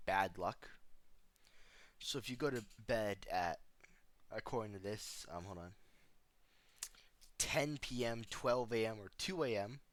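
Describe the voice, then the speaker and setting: A man's voice: fairly low pitch, a bit bright. A man giving casual, joking video commentary in a sarcastic, flippant, slangy way, full of offhand asides. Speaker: video host reacting to analog horror.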